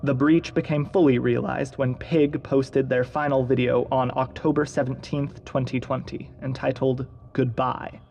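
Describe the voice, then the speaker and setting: An actor playing a solemn investigator who narrates a secret agency's audio log in a formal, measured, creaky voice. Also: male voice, medium pitch, little pitch movement, darkish tone, slight background noise, natural voice. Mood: grave, clinical.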